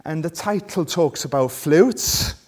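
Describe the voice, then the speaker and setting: A man with a Welsh accent, a native Welsh speaker, delivers a sermon at an unhurried pace. His voice sits a little high, and he talks to his listeners conversationally, often inviting agreement. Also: expressive, darkish tone. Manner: conversational, asks listeners rhetorical tag questions. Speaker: preacher. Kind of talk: sermon with scripture reading.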